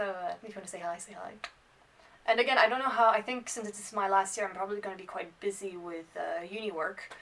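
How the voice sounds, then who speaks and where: A bilingual French and English woman in her twenties talks casually in a mid-pitched voice, as in a student vlog. She rambles and hesitates, gently putting herself down as she goes. The microphone sounds slightly far from her. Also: slight background noise. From France and Ireland. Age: 20s. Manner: rambling, hesitant, self-deprecating. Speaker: student vlogger. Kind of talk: casual unscripted vlog chat to camera.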